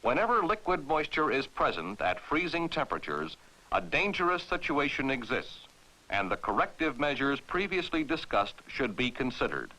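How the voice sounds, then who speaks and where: American man, crisp authoritative narrator, mid-pitched, animated intonation, scripted 1960s military training film, vintage soundtrack. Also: normal speed, poor audio. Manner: authoritative, clearly enunciated, formal.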